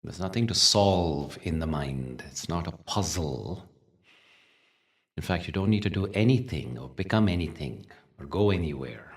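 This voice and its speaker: A man leading a guided meditation as a teacher, speaking gently in short phrases with long pauses. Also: deep voice, lively intonation, recorded from a distance. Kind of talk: guided meditation with spiritual talk.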